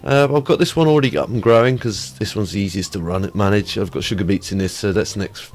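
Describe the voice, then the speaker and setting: A man's voice: on the low side, quick pace, a little mellow, slight background noise. A man with a head cold, chatting casually through gameplay as a let's play host, rambling with lots of ums.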